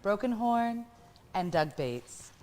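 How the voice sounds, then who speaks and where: A woman's voice: medium pitch, big pitch swings, slow. A woman hosting a live show, giving thanks and closing announcements from the stage. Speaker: show host. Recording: loud crowd and noise, live venue.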